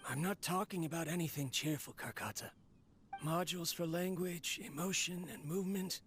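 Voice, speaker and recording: An actor playing a weary, lonely researcher in a game, confiding softly and reflectively. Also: male voice, on the high side, moderate pace, slight background noise, lightly acted. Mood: tired, sombre. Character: weary, lonely male researcher talking to his machine. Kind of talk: dramatic game voice acting, recorded monologue.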